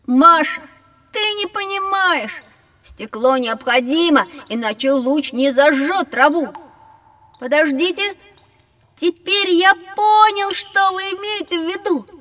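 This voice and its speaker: A high-voiced young boy in a children's audio drama. He is curious and sure of himself, eager to explain how things work and quick to ask questions. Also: fairly bright tone, in a large hall, full character voice. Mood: thoughtful, insistent. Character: curious, confident, know-it-all young boy.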